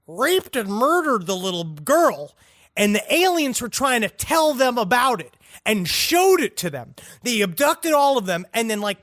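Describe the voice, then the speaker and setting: A man with a high voice recaps a film in blunt, crude, casual chat. He is recorded close and dry, with no room sound. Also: fairly bright tone, no echo. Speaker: podcast co-host.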